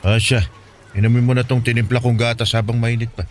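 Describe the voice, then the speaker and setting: A man's voice: medium pitch, flat delivery, a little fast, slight background noise, close to an everyday voice. Man acting a fatherly older Filipino guardian. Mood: kindly, teasing. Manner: fatherly, admonishing, colloquial. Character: kindly, preachy older bachelor slum-dweller, fatherly guardian. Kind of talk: Filipino radio drama acting.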